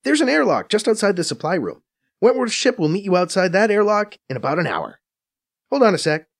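A man's voice: high voice, a little fast, voice pushed up, heavily acted. A man voicing a brash, profane female undercover agent who teases and swears freely, in an audiobook. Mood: practical. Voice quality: raised, lively, cocky voice. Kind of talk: audiobook character voice.

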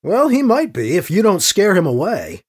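A man's voice: high voice, huge pitch swings, normal speed, a little mellow, husky, pitched above the performer's own voice, full character voice. A man voicing a wry church agent priest in an audio drama, polite and probing with dry sarcasm. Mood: measured, probing. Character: wry, smooth-talking male priest and church agent, deferential yet sarcastic.

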